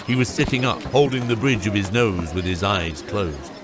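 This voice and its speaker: A man's voice: on the low side, lively intonation, moderate pace, loud music behind the voice. A man narrating a fantasy audiobook from a script as its storyteller.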